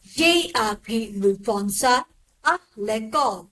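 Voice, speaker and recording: A woman modelling sentences for a language lesson, enunciating each phrase clearly and evenly. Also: fairly high pitch, lively intonation, slow pace, a bit bright, a little hiss or hum. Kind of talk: slow model repetitions of sentences.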